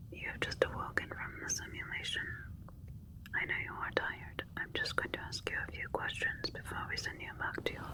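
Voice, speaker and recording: A woman playing a calm, caring alien doctor in an ASMR roleplay, speaking in a soft breathy whisper with a gentle, clinical, reassuring manner. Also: lightly acted, rough recording.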